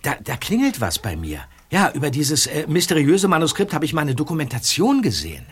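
Eager young-detective type, husky and animated. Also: male voice, on the high side, a little fast, heavily acted. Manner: hesitant, deferential, eager. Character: eager, polite, excitable male amateur detective. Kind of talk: German audio drama acting.

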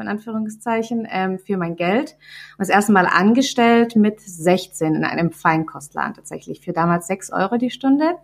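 A woman from southern Germany with a Swabian accent, talking casually as a podcast guest. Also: medium pitch. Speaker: podcast guest, company founder. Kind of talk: casual conversational anecdotes.

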